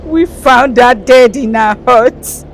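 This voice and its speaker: A woman's voice: a little high, takes it slowly, a little hiss or hum. A woman playing a grief-stricken village queen, crying through a melodramatic film scene. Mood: wailing grief.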